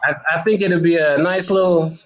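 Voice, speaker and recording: A man's voice: on the high side, steady tone, moderate pace, poor audio. A man being interviewed in a casual chat, good-humoured and hesitant, thinking aloud as he goes. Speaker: talk show guest, stage play actor.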